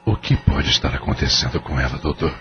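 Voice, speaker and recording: An actor playing a distressed husband and father who talks in an anxious, hushed, pleading way in an audio drama. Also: a bass voice, fairly even intonation, fairly quick. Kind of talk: radio drama acting. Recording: muffled lo-fi audio with music.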